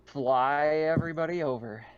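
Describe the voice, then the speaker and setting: A man's voice: on the high side, moderate pace, highs cut off, very noisy. A man running a tabletop game as game master, explaining and asking in a casual conversational way.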